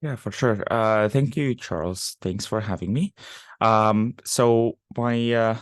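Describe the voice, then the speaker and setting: A man's voice: lowish voice, takes it slowly, dry recording, studio quality. A young man from Syria, a startup founder telling his story as a podcast guest, talking casually with many fillers and restarts. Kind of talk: casual interview talk.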